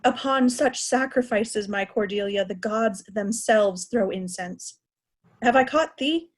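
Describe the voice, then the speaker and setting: Woman playing a slow-speaking old deposed king. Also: medium pitch, close to an everyday voice. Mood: defiant. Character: frail old deposed king, tender and defiant. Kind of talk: Shakespearean verse read in reader's theatre.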